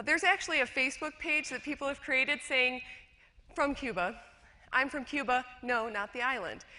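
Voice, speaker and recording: Bright-voiced woman in reverberant hall. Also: fairly high pitch. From rural western New York, USA. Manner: chatty, self-deprecating, with fillers and restarts. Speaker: conference speaker, educator. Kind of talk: conference talk with slides. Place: lecture hall.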